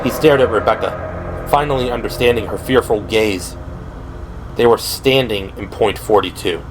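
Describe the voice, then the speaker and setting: A man's voice: on the low side, normal speed, background noise. A man delivering scripted, dramatic narration for an audio drama. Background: dramatic background music.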